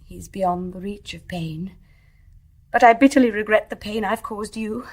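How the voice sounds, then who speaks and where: An actress playing an anguished, impassioned young wife in a radio drama, earnest and emotional. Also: female voice, mid-range voice, a little slow. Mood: ashamed, pleading. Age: young adult. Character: anguished, impassioned young wife, ashamed yet defiant in love. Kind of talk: stage-play radio drama acting.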